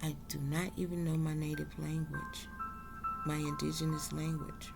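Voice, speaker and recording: A woman's voice: low voice, level tone, moderate pace, creaky, over music. A woman from South Carolina, talking earnestly and unhurriedly in a reflective vlog monologue. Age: adult. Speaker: vlogger.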